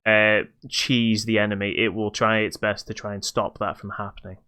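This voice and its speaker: British man, clear voice, casual game review. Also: lowish voice, flat delivery, normal speed, bright, forceful tone. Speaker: podcast host reviewing a video game. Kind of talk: casual podcast review chat.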